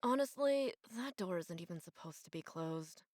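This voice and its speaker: A woman's voice: fairly low pitch, animated, moderate pace, a little mellow, no echo, clean studio sound, natural voice. A woman voicing a matter-of-fact student in a visual novel. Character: matter-of-fact student trapped among classmates.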